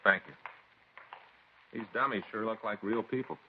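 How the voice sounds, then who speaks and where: An American actor playing a chatty, stubborn police detective's partner in a 1950s radio drama, with a folksy, insistent way of talking. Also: male voice, fairly low pitch, moderate pace, a little hiss or hum, close to an everyday voice. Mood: casual, inquiring. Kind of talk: 1950s radio crime drama acting, conversational. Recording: dull old radio recording.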